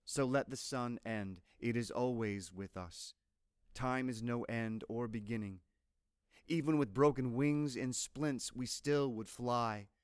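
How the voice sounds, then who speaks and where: A man gives a measured poetry recitation at a very unhurried pace. His voice is mid-pitched and resonant, recorded very dry and close. Each phrase is placed with deliberate care. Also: clear, resonant tone, dry recording. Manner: measured, deliberate, literary delivery. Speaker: poetry reader.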